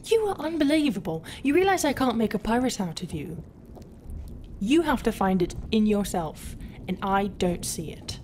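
A woman playing a proud, brooding, non-human pirate captain in a roleplay, guarded and often dropping into a half-whisper with hesitant pauses. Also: medium pitch, big pitch swings, a little mellow, slight background noise, close to an everyday voice. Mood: exasperated. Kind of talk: roleplay ASMR acting.

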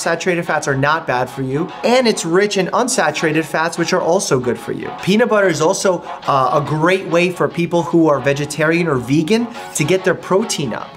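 Young man, upbeat, conversational, slightly high-pitched, home kitchen, faint background music. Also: a bit bright. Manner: upbeat, explains health benefits in an easy conversational way. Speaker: doctor and channel host. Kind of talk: casual explanatory food vlog.

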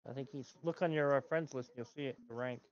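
A man's voice: medium pitch, fast, no room sound, highs cut off. Grumbling middle-aged man streaming a game. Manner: grumbling, talking to himself about the game. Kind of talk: casual gameplay chat. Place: home gaming room, indoors.

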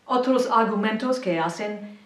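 An older woman giving a slide lecture point by point, in careful, deliberate phrasing of a non-native speaker, speaking in a small indoor room. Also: mid-range voice, fairly bright tone, distant mic. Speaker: presenter. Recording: roomy echo, mic set back.

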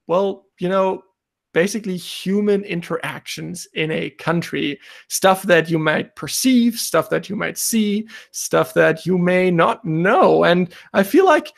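A man's voice: high voice, a little slow, vocal fry. A young man from Germany, a native German speaker, hosting a casual podcast chat over a remote call. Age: young adult. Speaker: podcast host. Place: indoor room, remote video call.

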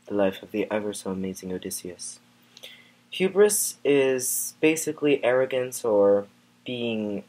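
A man's voice: medium pitch, rough recording. A man playing a vain, boastful Greek hero as a vlogger, talking casually with lots of fillers in a comedic parody. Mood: smug. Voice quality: casual, filler-heavy, smug delivery.

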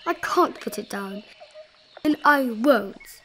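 A woman's voice: fairly high pitch, animated, takes it slowly. A woman voicing a kind, protective male elephant in a children's story, earnest, pleading and emphatic. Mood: alarmed, resolute. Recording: clearly noisy recording.

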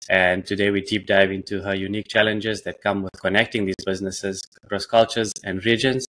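A man's voice: lowish voice, little pitch movement, brisk, fairly bright tone, low-quality recording. A man hosting a podcast over a video call on a headset, often rephrasing his questions as he asks them. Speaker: podcast host. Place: indoor room.

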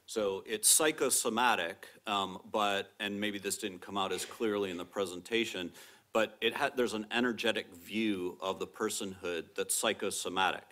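A middle-aged man with a very low, strong voice that carries, explaining ideas at a table microphone on a lecture theatre stage. He talks in a measured, careful way, hedging with qualifiers as he lays out each point. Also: steady tone, pushed and bright. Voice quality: strong, projecting voice. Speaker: panel speaker, professor. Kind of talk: academic panel discussion.

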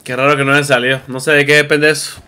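A man's voice: a little high, flat delivery, fast talker, sharp tone, slight background noise. A young man streaming a shooter game and joking with friends over a headset, putting on a playful Argentine-style voice. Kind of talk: casual gaming chat with friends.

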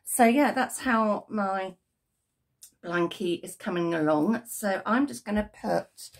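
A middle-aged British woman, croaky from a cold, chatting to camera in her home craft room about her crochet project, rambling with ums and corrections. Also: medium pitch, slow pace. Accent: British English. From United Kingdom. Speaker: crochet vlogger. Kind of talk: casual chat to camera. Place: home craft room with yarn shelves, indoors. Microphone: webcam at desk, framed from the chest up.

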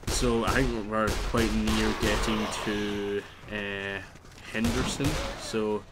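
A man's voice: lowish voice, flat delivery, slow, loud music behind the voice. A man with a stuffy nose giving rambling, chatty commentary while playing a video game, exclaiming at what happens. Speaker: let's play host. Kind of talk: casual gameplay commentary.

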